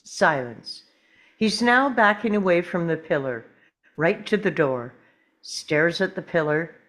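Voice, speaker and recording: A woman with a lowish voice narrates stage directions at a table read. She is very animated in pitch and takes her time, letting short phrases hang. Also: measured pace.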